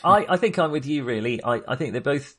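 A man's voice: mid-range voice, animated, fairly quick, slightly rough. A man co-hosting a podcast in casual film discussion, hesitant and often correcting himself as he qualifies his opinions. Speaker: podcast co-host.

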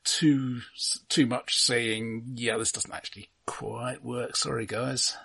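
A man co-hosting a casual film discussion, speaking in a halting, measured and dryly understated way. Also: mid-range voice, steady tone, vocal fry, fairly bright tone, slightly rough. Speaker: film podcast co-host.

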